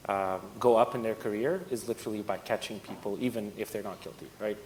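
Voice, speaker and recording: A man from Portugal speaking as a panelist at a conference, relaxed and conversational. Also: fast. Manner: relaxed, conversational, laughs often. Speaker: panelist, fund partner. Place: conference stage. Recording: echoey hall with faint rumble.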